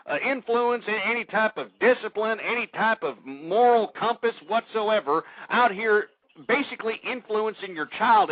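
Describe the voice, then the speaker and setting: Guy hosting call-in radio, blunt opinions, kinda high voice, tinny phone-like sound. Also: no room sound. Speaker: radio host.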